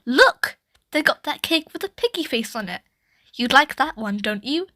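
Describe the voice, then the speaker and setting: A woman's voice: high voice, big pitch swings, moderate pace, sharp tone, no echo, clean studio sound, full character voice. A woman voicing a patient but flustered mother in a picture book, giving short instructions. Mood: patient, instructing. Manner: short firm instructions, tag questions.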